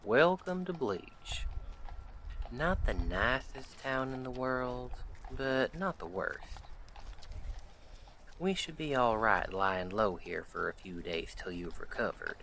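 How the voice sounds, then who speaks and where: A man playing a friendly, protective Old West sheriff in a roleplay audio drama, talking to the listener in folksy, unhurried western phrasing. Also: mid-range voice, animated, fairly bright tone, slight background noise. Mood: easygoing.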